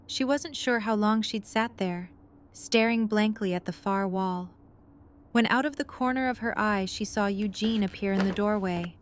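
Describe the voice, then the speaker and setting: A woman's voice: medium pitch, normal speed. A woman reading scripted third-person story narration for an audio drama. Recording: faint background noise and ambience.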